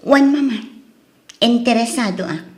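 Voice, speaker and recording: A woman's voice: fairly high pitch, unhurried, natural voice. A woman playing a haughty, proud young wife in an Ilocano radio drama, with an Ilocano accent. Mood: guarded. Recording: roomy echo.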